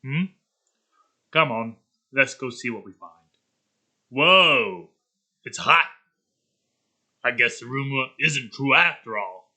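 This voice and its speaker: Man as a dumb jock, cartoon, dopey, halting, naive, curious, middling pitch. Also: expressive, pushed and bright, full character voice. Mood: amazed. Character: dim, sheltered jock gamer, naive and curious. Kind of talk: animated character script reading.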